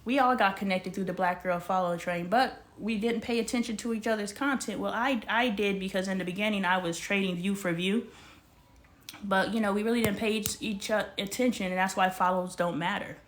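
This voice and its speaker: Animated woman chatting live to viewers. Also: mid-range voice, brisk. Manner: animated, slangy, talks straight to the chat. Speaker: livestream host. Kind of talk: casual livestream chat with viewers.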